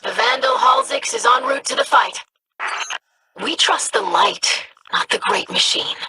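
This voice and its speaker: A woman's voice: sits high, wildly swooping pitch, a little slow, gravelly, noisy background, exaggerated voice. A woman voicing a hopeful, polite young alien girl in a video game, speaking earnestly.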